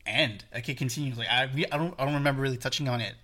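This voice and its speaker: Podcast co-host with a bright voice, stammers and restarts a lot, casual movie talk. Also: mid-range voice. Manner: halting, stammering restarts, laughs along. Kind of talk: casual movie review chat.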